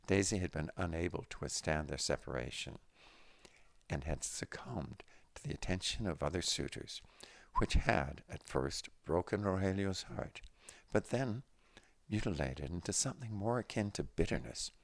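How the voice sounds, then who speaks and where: Very deep, gravelly male story narrator. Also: expressive, normal speed, no room sound. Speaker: audiobook-style reader of a story.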